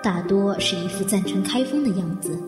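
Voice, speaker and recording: A woman's voice: mid-range voice, a little fast, lightly acted. A woman playing a calculating, suspicious character thinking to herself in a detective audio drama, drenched in reverb. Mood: suspicious, brooding. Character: suspicious, calculating woman weighing suspects silently. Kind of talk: inner monologue in audio drama. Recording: echoing reverb over new-age music.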